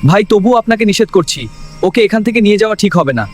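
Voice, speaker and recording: Man acting a high, nervous villager. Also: lively intonation, fast, soft background music. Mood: anxious warning. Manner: anxious, pleading, exclamatory. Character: nervous, fearful village man on a night road.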